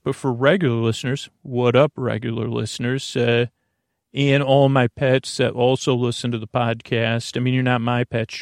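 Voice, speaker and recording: A man with a creaky voice hosting a bedtime podcast, meandering and halting through a lulling, soothing monologue full of tangents. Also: medium pitch, no room sound, clean studio sound. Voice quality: creaky, gravelly.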